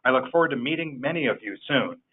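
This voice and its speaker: A man's voice: medium pitch, lively intonation, fast talker, husky. A man speaking as a chief executive, giving a formal, courteous prepared greeting on a conference call. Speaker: foundation president and chief executive. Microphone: phone or webinar call. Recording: narrow tinny phone line.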